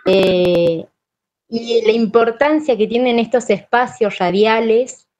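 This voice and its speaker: A woman's voice: on the high side, slow pace, a little mellow. A woman in her thirties with an Argentine accent from Santiago del Estero, a teacher talking informally on a video call. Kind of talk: informal online presentation. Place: wood-panelled room at home. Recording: dull video-call audio.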